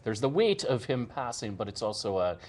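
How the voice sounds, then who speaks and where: A man plays a bittersweet Irish mother in an improvised comedy interview. The pitch swings widely through measured, expressive speech, with faint noise behind. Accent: put-on Irish. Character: bittersweet, beer-loving Irish mother of the deceased. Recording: clear background noise, live stream.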